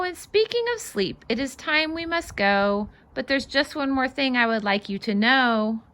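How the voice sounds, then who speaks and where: A woman reading a children's storybook aloud in an expressive, sing-song way, with casual asides to her young listeners. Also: high-pitched. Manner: animated, sing-song rhyming delivery. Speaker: children's read-aloud host and fitness instructor.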